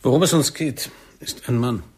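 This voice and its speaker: An actor playing a serious, protective secret agent in a radio play, quiet and grave with a gravelly husky voice. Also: male voice, mid-range voice, normal speed, close to an everyday voice. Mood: grave, cautioning.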